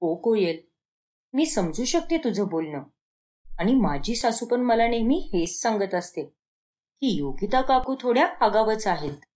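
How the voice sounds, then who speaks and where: A woman plays a young neighbour in a cartoon tale. She reasons in a measured way, sympathetic yet firm. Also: mid-range voice, big pitch swings, darkish tone, pitched below the performer's own voice. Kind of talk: cartoon moral story acting.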